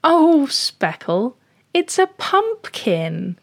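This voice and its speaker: Woman acting an elegant, kindly lady cat, pitched up. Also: sing-song, gravelly, full character voice. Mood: amused. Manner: soothing, drawn-out, gently teasing.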